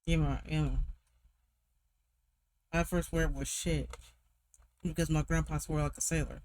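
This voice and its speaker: A woman's voice: sits low, flat delivery, measured pace, a little mellow. A woman hosting a gaming channel, chatting casually and rambling over gameplay. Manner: chatty, rambling, laughs easily.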